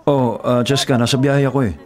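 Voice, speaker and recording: An actor playing a caring, burdened young delivery rider in a Filipino radio drama, with an earnest, conversational delivery and a Filipino accent. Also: male voice, medium pitch, level tone, a little fast, crowd noise, lightly acted. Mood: anxious. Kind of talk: naturalistic radio drama acting. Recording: music bed behind the voice.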